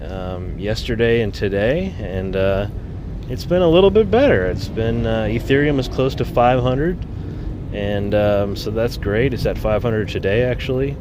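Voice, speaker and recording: A man's voice: on the low side, animated, a little slow, noisy background. A man hosting his own podcast alone, talking casually with lots of ums and uhs, a bit nasal from a summer cold. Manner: rambling, many ums and uhs. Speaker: podcast host. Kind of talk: solo casual podcast talk.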